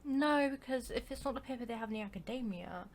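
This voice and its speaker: A woman's voice: a little high, fast talker. A woman giving casual gameplay commentary as a streamer, playful, reactive and rambling. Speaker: game streamer.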